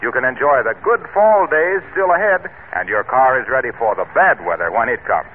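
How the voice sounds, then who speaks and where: A male announcer reading a radio commercial in a smooth, persuasive pitchman manner. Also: animated, normal speed, slight background noise. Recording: tinny old radio broadcast.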